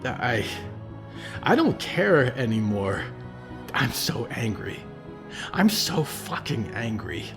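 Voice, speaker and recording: A man's voice: lowish voice, expressive, unhurried, music in the background. A man voicing an angry, guilt-torn young man who burns when enraged. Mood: furious. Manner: strained, rising, outbursts.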